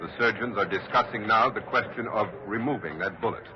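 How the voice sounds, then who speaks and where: A man playing a grave field reporter in a 1940s radio drama, speaking in a somber, measured way. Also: lowish voice, noisy background, lightly acted. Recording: muffled 1940s radio recording, crowd behind.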